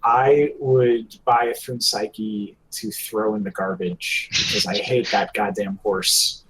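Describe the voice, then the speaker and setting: A man talking casually as a podcast co-host, in a halting, hesitant delivery. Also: on the low side, steady tone, a bit bright, a little hiss or hum, rough recording. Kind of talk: casual hobby podcast chat.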